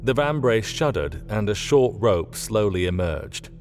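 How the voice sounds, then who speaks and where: A man reading a scripted story as an audiobook narrator, in an expressive storytelling manner. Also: on the low side, measured pace, faint music behind.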